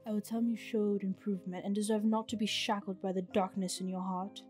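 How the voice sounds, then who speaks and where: A woman playing a commanding, scheming goddess mother with a measured, imperious delivery in a fantasy game roleplay. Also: medium pitch, little pitch movement, darkish tone, faint music behind, natural voice. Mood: persuasive.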